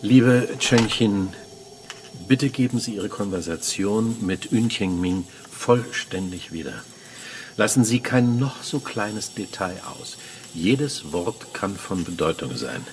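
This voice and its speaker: An actor playing a courteous, insistent official in a science fiction radio play. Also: male voice, fairly low pitch, expressive, slow, a little hiss or hum. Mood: courteous, businesslike. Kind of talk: radio drama acting. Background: music.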